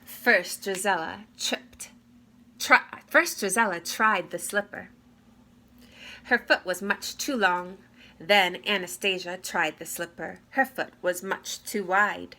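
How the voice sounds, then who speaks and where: A woman reading a fairy tale aloud to children with deliberate, expressive phrasing. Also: mid-range voice. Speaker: read-aloud storyteller. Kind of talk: expressive children's story narration. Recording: clear background noise.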